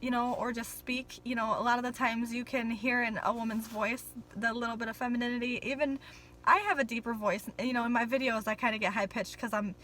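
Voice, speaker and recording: A woman's voice: on the high side, fairly even intonation, brisk, pushed and bright, low-quality recording. A young woman vlogging in a casual, rambling way, full of ums and apologies, a little nervous on camera.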